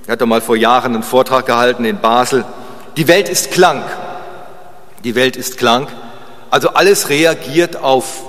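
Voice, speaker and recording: A man preaching informally and chattily, in a halting way with pauses, repeated phrases and short tag questions. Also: mid-range voice, a bit bright. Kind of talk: informal sermon with digressions. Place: large reverberant room at a church service. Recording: long reverb of a large hall.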